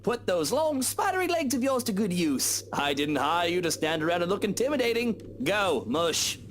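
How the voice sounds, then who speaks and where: A man's voice: sits high, sing-song, normal speed, fairly bright tone, a little hiss or hum. A man voicing a demanding rich husband who gives curt orders. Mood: bossy. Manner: curt, commanding.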